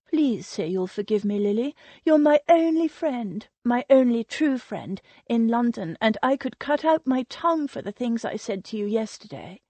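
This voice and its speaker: Woman voicing gushing, clingy society wife; high, swooping, pleading. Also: expressive, moderate pace, few pauses, mellow, no echo. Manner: effusive, pleading, breathless.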